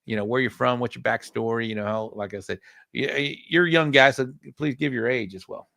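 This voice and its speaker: Middle-aged host, dry close sound. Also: male voice, mid-range voice, brisk, creaky, bright, forceful tone, no room sound. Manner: friendly, says "man" and "bro" a lot. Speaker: podcast host. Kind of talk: casual podcast interview.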